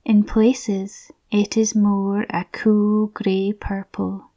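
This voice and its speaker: A woman softly narrating a scripted guided visualisation in a soothing, breathy, near-whispered voice. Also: mid-range voice, level tone, extremely slow.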